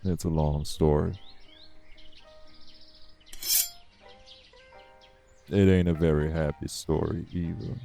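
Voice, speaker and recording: A man acts out an ancient male vampire for an intimate audio roleplay. His voice sits very low with a heavy gravelly fry and a dark, mellow tone. He drawls without hurry, guarded and wry, with music behind him. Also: steady tone. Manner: drawling, folksy, unhurried, wry. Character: world-weary, guarded old male vampire, gruff but curious.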